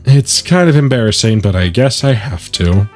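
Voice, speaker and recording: Man reading as an awkward, low-key schoolboy protagonist. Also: expressive, normal speed, soft background music, lightly acted. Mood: sheepish. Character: awkward, well-meaning teenage schoolboy visual novel protagonist.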